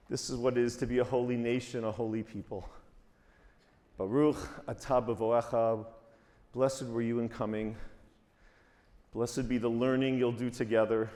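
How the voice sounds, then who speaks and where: A man's voice: medium pitch, darkish tone. A man solemnly leading a congregation in communal song and blessing, exhorting those gathered. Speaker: song leader and speaker giving a blessing. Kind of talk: communal sing-along and spoken blessing. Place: large conference hall, on stage. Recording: long hall reverb.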